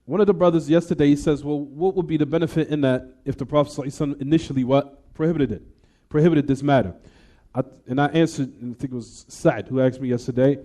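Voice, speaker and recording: A man teaching a hadith class. He keeps asking the room questions and answering them himself, and he recites Arabic quickly between plain explanations. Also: on the high side, fairly even intonation, mellow. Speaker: Islamic teacher.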